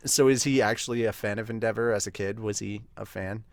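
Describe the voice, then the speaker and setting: A man's voice: fairly low pitch, fairly even intonation, brisk, no room sound. Chatty man reacting, dry close sound. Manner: chatty, laughs, restarts. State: hiccups. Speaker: podcast host reviewing manga. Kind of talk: casual reaction commentary.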